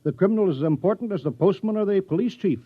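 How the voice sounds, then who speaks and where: Fussy small-town mayor, wildly swinging pitch. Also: male voice, lively intonation, very fast, slightly rough, no room sound. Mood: insistent. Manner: folksy, hesitant, cajoling. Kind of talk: 1950s radio comedy acting. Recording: dull, dry vintage radio recording.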